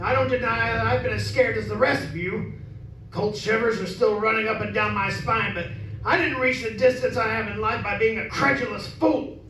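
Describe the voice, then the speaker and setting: A man's voice: sits high, fairly even intonation, moderate pace, sharp tone. A man playing a stubborn, proud, scornful master of a haunted house in an old-time radio horror play, arguing emphatically. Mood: accusing, insistent. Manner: emphatic, argumentative. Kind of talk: 1930s radio horror acting. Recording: echoey room, distant mic, faint noise.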